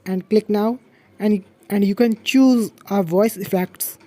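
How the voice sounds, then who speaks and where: A man's voice: high voice, takes it slowly, dark tone, dry recording. A man hosting a tech tutorial and walking through phone app steps in simple, broken English with repeated prompts.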